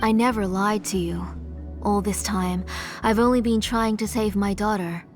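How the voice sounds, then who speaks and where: A woman's voice: medium pitch, steady tone, normal speed, close to an everyday voice. A woman playing a grieving, obsessed mother in a dramatic video game scene, speaking in a solemn, confessional manner. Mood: resolute sorrow. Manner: solemn, confessional, trailing into hushed breaths. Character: grieving, obsessed mother, soft-spoken and resolute. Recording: game music behind voice.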